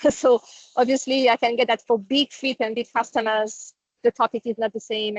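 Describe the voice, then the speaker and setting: Female call presenter, non-native English, slightly high voice, informal and explanatory, very little room sound. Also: no echo. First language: not English. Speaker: presenter leading a company conference call. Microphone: online conference call. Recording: dull, dry online call audio.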